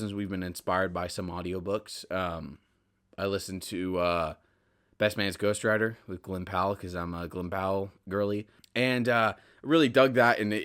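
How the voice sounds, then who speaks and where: A man's voice: lowish voice, fairly bright tone. A man hosting a solo podcast in casual, rambling talk, often correcting himself and drifting into asides. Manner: self-correcting, digressive, conversational. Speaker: podcast host.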